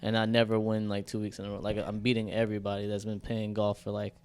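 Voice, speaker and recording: Low, flat-voiced young man chatting casually. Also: fast talker, smooth. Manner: loose, profane, slangy storytelling. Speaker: podcast co-host. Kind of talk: casual chat.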